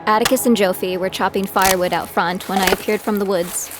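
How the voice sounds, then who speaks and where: A woman's voice: medium pitch, steady tone, fairly quick, natural voice. A woman playing a gritty young female survivor who tells her own story as scripted audio drama narration. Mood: reflective, measured. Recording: music bed behind the voice.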